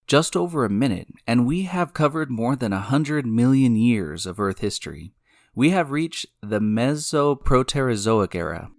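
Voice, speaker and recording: A man hosting and narrating a scripted science story in a deliberate, explanatory way, speaking directly to the listener. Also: mid-range voice. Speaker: podcast host and narrator. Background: music.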